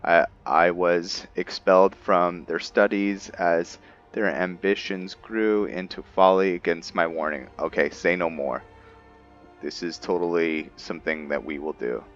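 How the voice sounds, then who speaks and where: A man giving casual commentary on his roleplay game, thinking aloud in a halting way. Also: deep voice, highs cut off, soft background music. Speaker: gamer narrating a roleplay playthrough.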